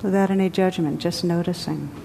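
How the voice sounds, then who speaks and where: Woman guiding meditation, low even voice, real slow, echoey hall w/ faint rumble. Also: gravelly. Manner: slow, gentle, spacious pauses. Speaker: meditation teacher. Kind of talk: guided meditation.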